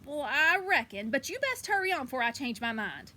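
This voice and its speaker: A woman's voice: high-pitched, big pitch swings, brisk, bright, forceful tone, full character voice. A woman voicing a loving, cautious grandmother in a storybook, giving firm, folksy advice. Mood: firm, fond.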